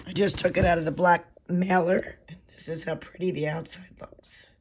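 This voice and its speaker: A woman with a hoarse voice from a cold, hosting a casual unboxing video and gushing softly over pretty items. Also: on the low side, fairly even intonation, normal speed. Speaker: unboxing channel host.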